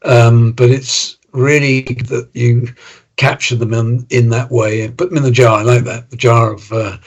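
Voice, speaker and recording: A man's voice: medium pitch, level tone, a little slow. A man hosting a podcast interview, friendly and thoughtful, summarizing what his guest says. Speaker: podcast host.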